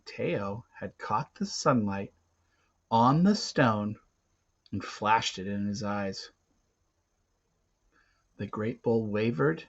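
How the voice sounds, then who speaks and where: A man reading an adventure novel aloud in a dramatic, emphatic way, swinging between hushed and loud delivery. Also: lowish voice. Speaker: reader of a children's adventure novel. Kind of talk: dramatic read-aloud narration. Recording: dull, lo-fi recording.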